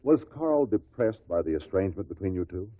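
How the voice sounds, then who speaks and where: An actor playing a shrewd investigator who puts formal, insistent questions to witnesses at an inquest in a 1950s radio drama. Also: male voice, low-pitched, very animated, fairly quick, no echo. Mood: probing, firm. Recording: muffled old radio recording.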